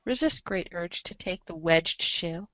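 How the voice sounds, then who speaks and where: Theatrical low-voiced woman reading aloud. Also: a little slow, fairly bright tone. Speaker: reader in a reader's theater show. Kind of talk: animated dramatic reading of a webcomic, in second person.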